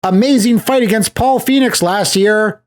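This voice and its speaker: A man hosting a podcast in casual chat, recapping things as an animated storyteller who slips into acted-out quotes. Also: high-pitched, normal speed. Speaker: podcast host.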